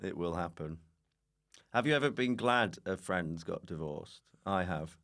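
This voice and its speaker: A man chatting casually as a comedian guest, telling stories in an animated, self-mocking way. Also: sits low, measured pace, gravelly. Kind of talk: casual comic chat.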